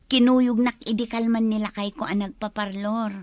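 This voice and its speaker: A woman's voice: on the high side, fairly even intonation, unhurried, darkish tone, dry recording, natural voice. Woman acting a troubled young wife, dry close sound. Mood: cheerful, carefree. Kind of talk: Ilocano radio drama acting.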